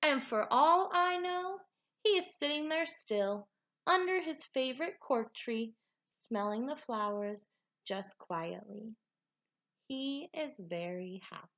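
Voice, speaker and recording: A woman reading a children's storybook aloud like a teacher, with dramatic, deliberate phrasing and emphatic words. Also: high-pitched, lively intonation, a bit bright.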